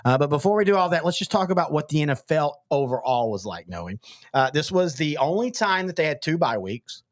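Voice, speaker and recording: A man's voice: a little high, big pitch swings, fairly quick, no echo, studio quality. Rambling man hosting sports talk. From East Texas. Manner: rambling, frequent restarts and stammered repeats. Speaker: podcast host.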